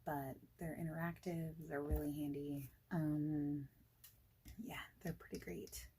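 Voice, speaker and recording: Soft breathy asmr lady, dark mellow voice, chatty and unhurried, bit of background noise. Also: deep voice, little pitch movement, poor audio. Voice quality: soft, breathy, often dropping toward a whisper. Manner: informal, reassuring, rambling chat. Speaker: ASMR creator playing a friendly boss in a job interview roleplay. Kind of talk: ASMR roleplay, soft speaking with whispering, improvised.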